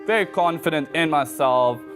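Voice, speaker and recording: A man's voice: fairly high pitch, moderate pace, over music. A man in his twenties with a South African accent, a guest talking casually and laughing often in an interview. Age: 20s.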